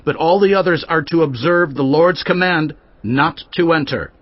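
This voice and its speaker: A man's voice: on the high side, normal speed, dry recording, highs cut off, lightly acted. A man playing a stern male priest who gives authoritative orders in a dramatized audio Bible. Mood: commanding. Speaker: voice actor.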